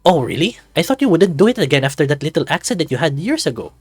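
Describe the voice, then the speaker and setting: A man's voice: fairly high pitch, very animated, quick pace, a little mellow, slightly rough, no room sound, soft background music, voice pushed up. A man voicing a caring, earnest young male companion in a visual novel. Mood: worried.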